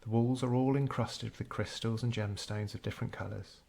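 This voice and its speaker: A man narrating a scripted guided meditation in a measured, unhurried storytelling way. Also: fairly low pitch, fairly even intonation, creaky, a little mellow.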